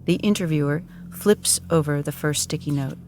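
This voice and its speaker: A woman's voice: low voice, normal speed, a little mellow, slight background noise. A woman reading scripted narration for an audio drama in a storytelling style.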